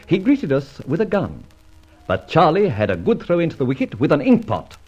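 An actor playing a jaunty gentleman adventurer who narrates his exploits in a breezy, dramatic radio drama style. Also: on the high side, huge pitch swings, normal speed, breathy edge, full character voice. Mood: brisk. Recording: dull vintage radio recording, music underneath. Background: music.

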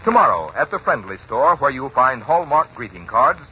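A man's voice: medium pitch, sing-song, normal speed, sharp tone, lo-fi sound. A man reading a scripted 1940s radio advertisement as sponsor announcer, with polished salesmanship. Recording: noisy, band-limited 1940s radio recording.

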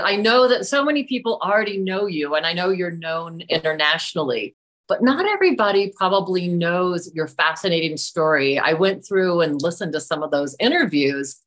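A woman's voice: fairly low pitch, a bit bright. Enthusiastic woman hosting an interview. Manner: enthusiastic, effusive. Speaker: podcast host.

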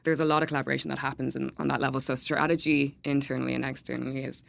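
A woman speaking as a guest on a podcast, answering in a relaxed conversational way with light laughs and frequent fillers. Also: a bass voice, very fast, vocal fry. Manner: laughs lightly, many ums and kind-ofs. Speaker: events manager, podcast guest. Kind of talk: casual interview answers.

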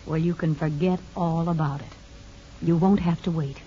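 Woman playing kindly parole officer, low mellow voice, calm, measured, reassuring, 1950s radio drama. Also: a little hiss or hum, natural voice. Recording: dull 1950s radio recording.

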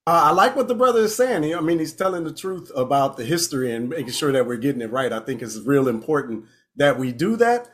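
A man's voice: a little high, animated, quick pace, hardly any pauses. A man talking casually as a guest in a panel discussion, giving his opinion.

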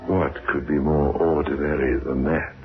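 A man narrating a 1960s radio horror series as its host, with a sinister, deliberate, theatrical delivery. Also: rumbling low, steady tone. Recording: muffled old radio recording, music behind.

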